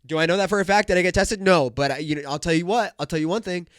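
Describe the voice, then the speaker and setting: A man's voice: high voice, racing, fairly bright tone, no echo, clean studio sound. Congested young man hosting a rowdy podcast. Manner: hyped, joking, full of "bro" and "dude". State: congested, nasal. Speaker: podcast host. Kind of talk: casual solo podcast rant.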